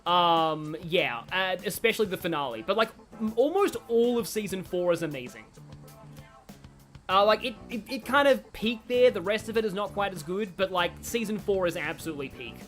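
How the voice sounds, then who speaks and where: A woman streams with very lively, swinging intonation at a middling pitch. She rambles casually and wryly as she comments, with game music playing behind her. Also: moderate pace. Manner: rambling, chatty, wry.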